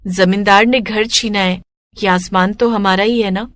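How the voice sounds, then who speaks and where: A woman's voice: mid-range voice, steady tone, unhurried, a bit bright, dry recording, lightly acted. A woman voicing a resourceful, hopeful young daughter, earnest and persuasive, in a cartoon story. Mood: determined.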